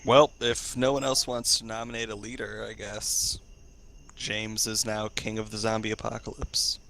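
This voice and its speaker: A man's voice: on the low side, little pitch movement, normal speed, vocal fry, fairly bright tone. A man improvising in character during a group role-play discussion.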